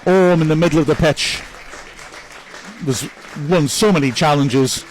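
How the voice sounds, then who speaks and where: A man's voice: on the high side, measured pace, a faint crowd, slight background noise, rough recording. Chatty man commentating a match. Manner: chatty, upbeat, praises players one by one. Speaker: club commentator. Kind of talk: live match commentary reading viewer messages.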